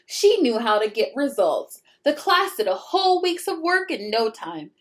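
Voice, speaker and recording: A woman reading a children's picture book aloud expressively, pausing to put questions to young listeners. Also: on the high side, big pitch swings. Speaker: read-aloud storyteller.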